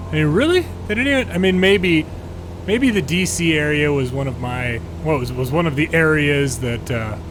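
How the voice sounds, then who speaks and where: An American man giving casual, sardonic game commentary with exasperated asides. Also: medium pitch. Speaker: gaming video host, pilot and former air traffic controller. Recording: steady background hum and noise.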